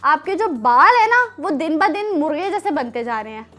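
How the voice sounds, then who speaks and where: A woman with a high voice hosts a children's programme. She teases playfully, prompts and echoes back what is said to her, with music playing behind. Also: big pitch swings, normal speed. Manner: teasing, prompting, repeating back. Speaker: children's show host.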